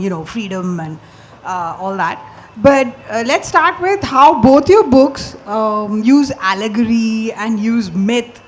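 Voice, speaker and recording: A woman's voice: on the high side, slight background noise. A woman from India moderating a literary panel, hesitant and full of fillers as she frames her questions. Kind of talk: panel discussion.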